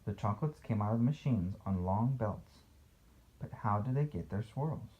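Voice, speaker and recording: A man's voice: on the low side, lively intonation, moderate pace, lo-fi sound. A man reading a picture book aloud as a father to a child, playful, with casual asides and questions.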